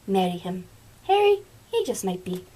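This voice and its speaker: A woman's voice: medium pitch, sing-song, moderate pace, warm tone, pitched below the performer's own voice. A woman voicing a practical, pushy, motherly old mouse in a storybook puppet show, coaxing and insistent.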